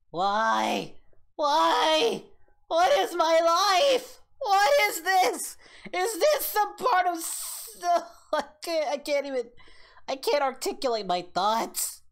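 A man voicing an excitable, distraught cartoon martial-arts hero as a reaction host, melodramatic, exclamatory and given to repeating himself. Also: extremely high pitch, full character voice. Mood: appalled disbelief, then dreading. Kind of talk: comic in-character reaction commentary.

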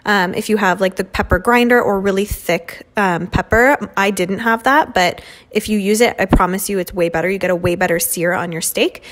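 Young woman, mid-pitched, relaxed chatty vlogger narrating her home cooking. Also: normal speed, hardly any pauses, slight background noise. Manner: chatty, many "um" and "like" fillers. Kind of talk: casual cooking voice-over. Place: home kitchen.

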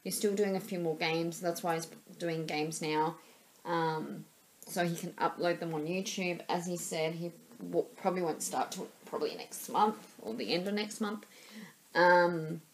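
An Australian woman in her thirties talks to her webcam from a small room, rambling and circling back with plenty of fillers. Her voice sits a little low and sounds stuffy and congested from a cold. A faint background hiss runs underneath. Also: a little slow, low-quality recording. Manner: rambling, many fillers and repeats. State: stuffy nose, sore throat. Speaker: vlogger. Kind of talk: casual vlog chat. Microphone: webcam at desk level.

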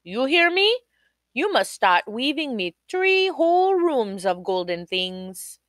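A woman voicing a young, boastful king who gives loud, bossy commands. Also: sits high, sing-song, slow pace, no room sound, clean studio sound, pitched above the performer's own voice, exaggerated voice. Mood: commanding.

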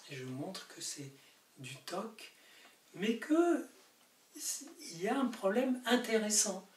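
A man's voice: high voice, big pitch swings, slow. An older man from Morocco, a native Arabic speaker, explaining his book to camera in a study, talking animatedly with emphatic peaks. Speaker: author. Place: book-lined study indoors. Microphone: camera mic from a distance. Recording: roomy distant camera audio with hiss.

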